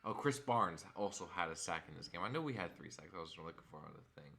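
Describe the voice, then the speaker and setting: A hoarse young man, a frustrated fan, recapping a football game and reading off stats in a casual vlog. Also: deep voice, lively intonation, fast, sharp tone. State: hoarse from screaming.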